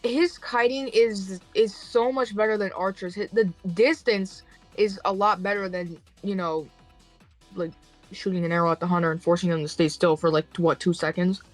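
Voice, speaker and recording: A young woman cuts into a gaming debate bluntly, teasing the others and swearing without a second thought. Her voice sits at an ordinary pitch, with faint music behind her. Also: fairly even intonation, moderate pace.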